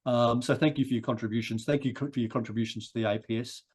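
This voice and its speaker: A man giving a rambling thank-you speech for a society, his voice croaky from a chest cold. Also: medium pitch, steady tone, quick pace, darkish tone. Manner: rambling, many restarts and repeated words.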